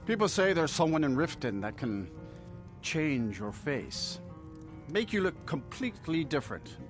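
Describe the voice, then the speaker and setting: A man's voice: a little high, big pitch swings, measured pace, over music. An actor playing a plain-spoken, skeptical, grumbling townsman in a fantasy game. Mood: confiding.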